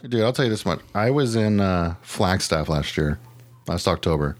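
A man's voice: deep voice, animated, normal speed. A man chatting casually as a podcast co-host, rambling and slangy with casual swearing. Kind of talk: casual chat.

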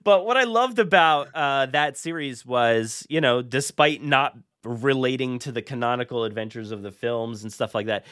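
A man hosting a podcast in casual chat, talking in a rambling, excitable way and often interrupting himself. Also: mid-range voice, normal speed, few pauses, dry recording, clean studio sound. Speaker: podcast host.

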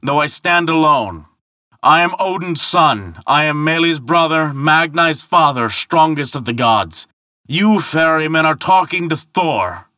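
A man voicing a booming, hot-tempered and credulous thunder god in a mythological tale, bellowing and boasting indignantly. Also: animated, moderate pace, bright, forceful tone, no echo, studio quality. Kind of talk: dramatic mythological storytelling.

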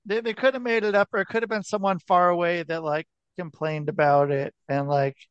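A man's voice: high voice, steady tone, brisk, clear, resonant tone, no echo, muffled recording. A man hosting a podcast, reacting with skeptical, swearing back-and-forth. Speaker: podcast host. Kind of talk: casual chat.

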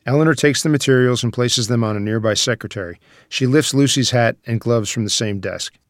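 A man's voice: medium pitch, a little fast, no echo, clean studio sound. A man reading stage directions as scripted narration for a screenplay table read.

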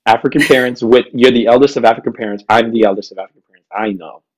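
A man's voice: mid-range voice, rapid-fire, poor audio. A man hosting a podcast, chatting in a casual, informal, slangy interview style. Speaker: podcast host.

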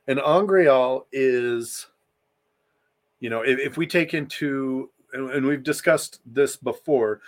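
A man co-hosting a casual fan discussion podcast, speaking informally with emphatic repetition and the odd swear word. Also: medium pitch. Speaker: podcast co-host.

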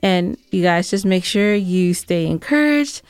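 Clear-voiced woman podcast co-host. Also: lowish voice, animated, unhurried, a little mellow, dry recording, faint music behind. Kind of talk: casual banter and listener announcements.

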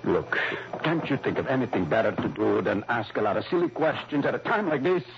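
An actor playing a gruff, agitated middle-aged father in an old-time radio drama, blunt, impatient and defensive. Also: mid-range voice, expressive, fairly quick. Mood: defensive, irritated. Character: agitated, gruff retired father of a kidnapped girl. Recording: noisy, muffled vintage radio recording.

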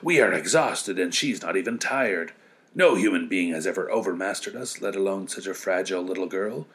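A man's voice: sits low, a little fast, a bit bright. A man voicing furious, proud, bullying winter whirlwinds and blizzards in a children's story. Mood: exhausted, indignant.

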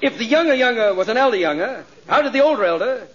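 An actor playing a pompous, easily confused mayor who stammers and sputters in exasperation, in a 1940s radio comedy. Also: male voice, extremely high pitch, animated, fast. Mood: baffled. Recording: dull 1940s radio recording, studio audience.

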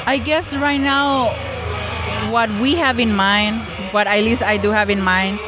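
A woman's voice: on the high side, fairly even intonation, unhurried, over music, a crowd in the background. A young woman from Mexico, answering interview questions about her film club in a hesitant way with many fillers. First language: Spanish. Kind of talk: casual interview.

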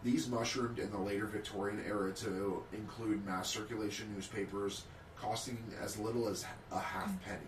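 Guy reading history notes off a page, lowish voice, echoey room, kinda far from the mic. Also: fairly even intonation, normal speed, a little hiss or hum. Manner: reads prepared history notes with small slips. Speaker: podcast co-host. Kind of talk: casual chat and reading notes aloud. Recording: roomy, off-mic sound.

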